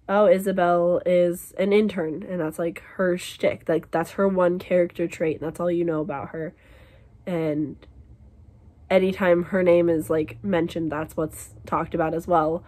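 A woman's voice: on the low side, dark tone. A young woman in her twenties vlogging from her bedroom, chatty and rambling with lots of fillers, her voice worn and losing strength. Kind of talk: casual vlog chat and book talk. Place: small bedroom at home.

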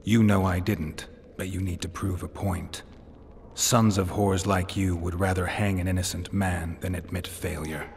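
A man voicing a weary, sardonic imprisoned monster hunter in a video game, with dry, deadpan delivery. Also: low-pitched, measured pace, slight background noise. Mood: angry, contemptuous.

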